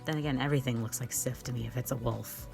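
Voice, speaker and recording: A woman's voice: a bass voice, fairly even intonation, fast, vocal fry, darkish tone, soft background music. A young woman hosting a casual question-and-answer chat, giggly, rambling and playfully self-mocking. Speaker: online channel host and amateur voice actor.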